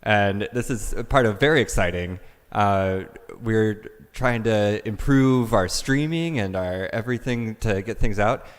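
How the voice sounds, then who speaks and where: A man's voice: lowish voice, a little slow, hardly any pauses, smooth. A man leading the singing at a church service, making casual, rambling announcements. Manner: chatty, loose, rambling. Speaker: song leader. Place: large church sanctuary. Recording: reverberant hall.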